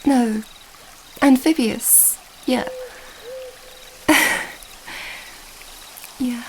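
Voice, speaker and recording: A woman's voice: fairly high pitch, a little mellow, a little hiss or hum, poor audio. A woman playing an affectionate young princess in love, speaking tenderly and hesitantly in a romantic fantasy ASMR roleplay. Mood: curious, lighthearted.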